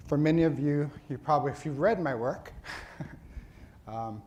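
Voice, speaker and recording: A middle-aged man from Hawaii, a dean addressing his school's faculty in an earnest, halting way, with many fillers and restarts. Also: fairly high pitch, darkish tone, muffled recording, slight background noise. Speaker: dean of a social work school.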